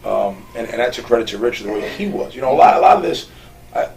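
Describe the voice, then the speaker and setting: A man who coaches college basketball, chatting in an interview and talking fast, with lively laughs when he quotes his players. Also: mid-range voice, creaky, distant mic, a little hiss or hum, poor audio. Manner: fast, animated, laughs while quoting players. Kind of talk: casual interview chat.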